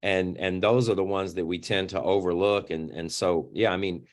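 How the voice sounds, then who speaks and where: A middle-aged man with a deep voice, speaking as an interview guest in an earnest, reflective way and often repeating words as he starts a sentence. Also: normal speed. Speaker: interview guest, youth organization founder.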